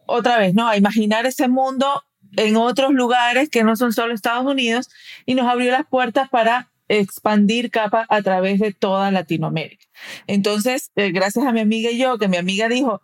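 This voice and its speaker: A woman from the United States speaking as an interview guest, explaining conversationally and checking in with short tag questions. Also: a little high. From raised in the United States. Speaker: podcast guest, perinatal educator and director.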